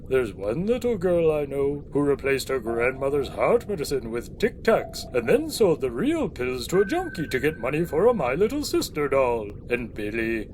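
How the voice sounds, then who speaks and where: Jolly old Father Christmas, avuncular, very animated, raised pitch, deadpan wit, comic audio play. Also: a little hiss or hum. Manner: avuncular, gently lecturing, deadpan. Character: jolly, kindly old Santa Claus with dry wit.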